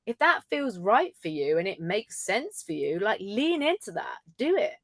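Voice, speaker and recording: A woman communication coach with a deep voice, chatting in a podcast in an animated way and often laughing. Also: normal speed, dry recording, studio quality. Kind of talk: casual podcast chat.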